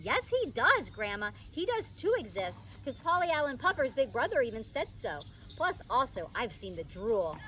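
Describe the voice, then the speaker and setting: A woman voicing an excitable, stubborn little kindergarten girl in a storybook reading, emphatic and childlike, recorded outdoors in a park. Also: fairly high pitch, moderate pace, few pauses. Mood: insistent. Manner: emphatic, childlike pleading and hollering.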